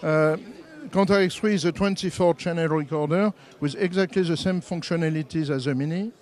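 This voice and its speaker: A middle-aged man, talking into a handheld mic at a trade show booth and explaining products with many halting "uh" pauses. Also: a little high, darkish tone, some chatter behind. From France. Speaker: company representative demoing products. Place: trade show booth, indoors, busy hall. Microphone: handheld microphone.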